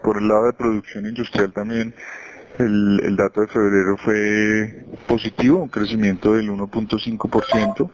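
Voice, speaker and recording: A man's voice: fairly low pitch, level tone, normal speed, slight background noise, rough recording. A man giving an unscripted, explanatory market briefing as a financial analyst on a conference call, often repeating words as he phrases his points.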